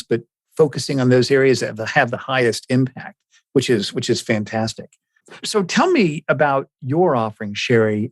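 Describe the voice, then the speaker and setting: A man speaks as a podcast host in a conversational interview style, affirming and encouraging. His voice sits at an average pitch and is recorded dry, with very little room sound. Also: no room sound.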